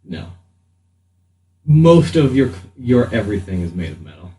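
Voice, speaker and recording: A man speaking as game master, improvising narration for a tabletop roleplaying game with halting pauses mid-sentence. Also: mid-range voice, very animated, warm tone. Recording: roomy, distant mic with faint noise.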